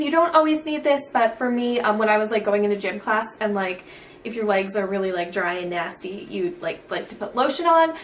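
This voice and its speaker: A woman's voice: fairly high pitch, far from the mic, slight background noise. A teenage girl in a casual beauty vlog, chatty and bubbly, saying "like" all the time. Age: teens. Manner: bubbly, says "like" constantly. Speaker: beauty vlogger.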